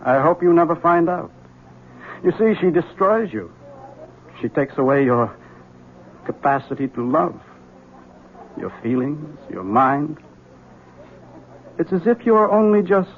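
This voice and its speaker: A man's voice: on the high side, expressive, slow pace, pauses often. An actor playing a bitter, brooding husband haunted by his wife in a 1970s radio drama. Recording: tinny old radio broadcast with faint hiss.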